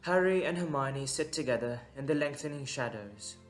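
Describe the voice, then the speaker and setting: A man's voice: medium pitch, expressive, normal speed, soft background music, low-quality recording. A man giving dramatic scripted narration for an audio drama.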